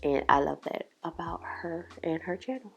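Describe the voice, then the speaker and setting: A woman's voice: lowish voice, lively intonation, gravelly, slightly rough. A congested woman, chatting casually to camera about makeup and giving her opinions. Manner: chatty, opinionated, drawn-out emphasis. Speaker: beauty vlogger. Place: home makeup setup with ring light. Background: soft background music.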